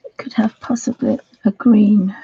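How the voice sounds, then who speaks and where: A woman with a croaky voice hosting a casual livestream while colouring, talking softly and rambling, often half-whispered. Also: medium pitch, steady tone, normal speed, no echo, highs cut off. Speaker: livestream host.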